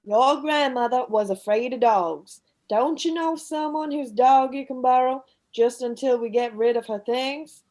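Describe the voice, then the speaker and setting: A woman playing a bossy, scornful middle-aged daughter-in-law with a sharp, sarcastic, commanding manner in a radio play. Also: sits high, measured pace, few pauses. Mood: brisk, dismissive. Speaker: actor in an amateur radio play.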